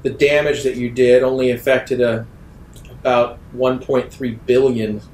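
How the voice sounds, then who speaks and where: A stern, authoritarian woman captain of security, acted by a male game master. The delivery is slow and measured, with accusation in it. The sound is roomy, with low background noise. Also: flat delivery, a little mellow, natural voice. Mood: defiant, accusing.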